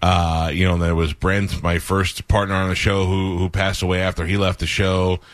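A man's voice: low voice, level tone, moderate pace, no room sound. A man hosting a radio show, telling stories in a rambling, dry way with many fillers. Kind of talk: casual radio chat.

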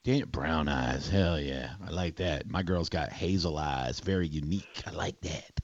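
A man in his forties hosting a radio show, bantering casually with callers in a crude, teasing, excitable way. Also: very deep voice, normal speed, dry recording, muffled recording. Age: 40s.

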